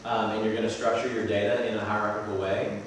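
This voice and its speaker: A man giving a conference talk and taking questions, with a booming, loud voice and a relaxed, conversational manner. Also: fast talker, a little hiss or hum. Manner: relaxed and conversational, with frequent asides. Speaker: conference speaker. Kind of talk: presenting a talk with audience questions. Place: conference session room. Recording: very echoey room, voice from far off.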